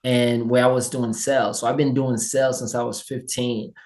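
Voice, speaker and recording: A man from Haiti with a Haitian accent, a guest telling his life story in an energetic, upbeat interview chat. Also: medium pitch, moderate pace. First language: Haitian Creole and French.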